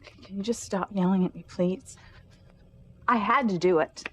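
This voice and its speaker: A woman acting as a distraught, defensive young mother in a soap opera, halting and pleading. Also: medium pitch, fairly bright tone, breathy edge.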